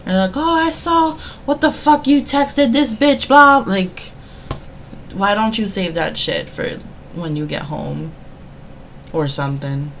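A young woman co-hosting a casual chat, soft-spoken but blunt, swearing freely. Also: medium pitch, animated, a little slow, a little hiss or hum. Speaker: co-host.

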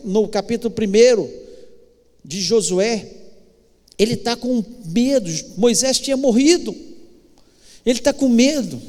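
A man with a Brazilian accent preaching a church sermon, exhorting emphatically with deliberate pauses. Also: very high-pitched, big-room sound. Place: church, live worship service.

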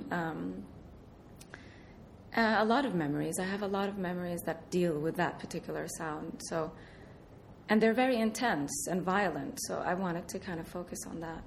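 Woman from Iraq, slightly low voice, hesitant and soft-spoken guest. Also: normal speed, vocal fry, a little hiss or hum. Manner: soft-spoken, laughs often, hesitant fillers. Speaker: artist, interview guest. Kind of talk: casual interview answers.

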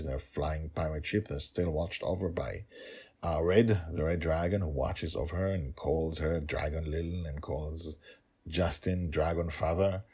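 A middle-aged man hosting a storytelling podcast, talking and narrating in an emphatic, playful way with deliberate repetition. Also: low-pitched, a little mellow, husky. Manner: emphatic, playful, repeats himself for effect. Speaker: storytelling podcast host and author.

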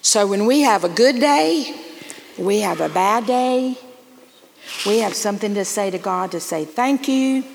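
A woman giving a gentle, coaxing children's message and prayer in church. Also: mid-range voice, lively intonation, unhurried, creaky. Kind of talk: children's sermon. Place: church sanctuary. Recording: reverberant church sanctuary with faint noise.

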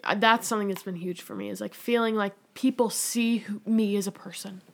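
A woman's voice: medium pitch, fairly even intonation, a little fast, vocal fry. A young adult woman talking candidly as a podcast guest, rambling with lots of filler words. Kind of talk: casual candid conversation.

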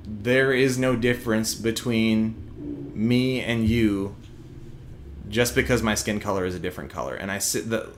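A man's voice: fairly low pitch, steady tone, measured pace, slight background noise. A young man from Houston with a slight Texas twang, speaking earnestly and emphatically as an interview guest, often restarting his sentences. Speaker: guest, prosecutor. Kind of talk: candid interview conversation.

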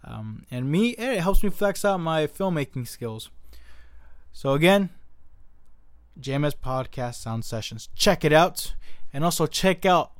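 Relaxed young man hosting a podcast. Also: a little high, a little slow, dry recording, clean studio sound. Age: young adult. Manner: relaxed, stammers on question starts. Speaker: podcast host. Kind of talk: casual podcast chat.

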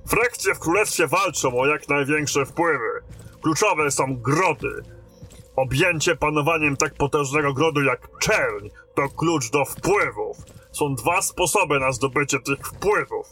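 Man acting a forceful, commanding fantasy captain over music. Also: fairly high pitch, animated, measured pace. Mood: grave, explaining. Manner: commanding, barking orders. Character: gruff, commanding male fantasy fortress captain, battle-worn and blunt. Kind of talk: fantasy audio drama acting.

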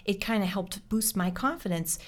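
A woman's voice: medium pitch, normal speed. Chatty American woman hosting a podcast. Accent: Boston-area American. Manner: chatty, reflective, storytelling. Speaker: podcast host. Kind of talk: casual chat.

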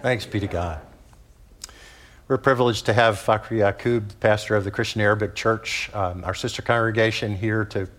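A man's voice: on the low side, fairly even intonation, normal speed, a bit bright. A man leading a church service, briefly and plainly introducing the guest preacher. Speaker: service leader.

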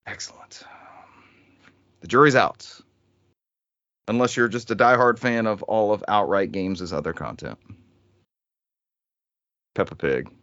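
A man's voice: on the low side, measured pace, long pauses, fairly bright tone, dry recording. Casual male podcast host, dull dry audio. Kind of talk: casual gaming news chat.

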